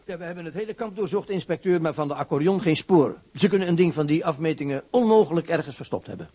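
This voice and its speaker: A man's voice: fairly high pitch, lively intonation, fast talker, dark tone, a little hiss or hum, lightly acted. An actor playing a hesitant male police officer reporting a search.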